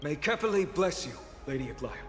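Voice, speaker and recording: A man's voice: a little high, very animated, brisk. A man voicing a loyal, deferential young guard in a video game, respectful and formal. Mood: loyal, earnest. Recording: echoey hall reverb, faint music.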